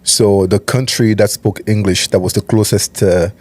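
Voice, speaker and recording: Calm man, lowish voice. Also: moderate pace. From Cameroon. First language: French. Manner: calm, methodical, explains step by step. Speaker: guest, nonprofit CEO. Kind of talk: interview conversation.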